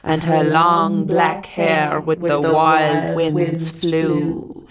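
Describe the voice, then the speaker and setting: A woman's voice: low-pitched, completely flat delivery, extremely slow, over a noisy crowd. A woman reciting verse in a scripted storytelling style.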